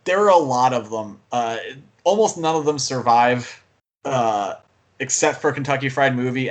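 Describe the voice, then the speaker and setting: Male podcast co-host, medium pitch, casual, enthusiastic, rambling. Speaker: podcast co-host and film fan. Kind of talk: casual movie discussion.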